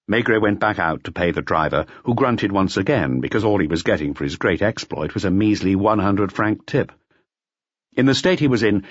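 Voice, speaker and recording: A man reading a mystery audiobook in an expressive, dramatic storytelling manner. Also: lowish voice, no room sound, dull audio. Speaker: audiobook narrator. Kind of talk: scripted mystery audiobook narration.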